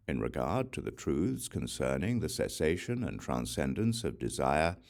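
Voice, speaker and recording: Very deep, gravelly male audiobook narrator. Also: darkish tone. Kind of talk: scripted reading of a Buddhist sutra.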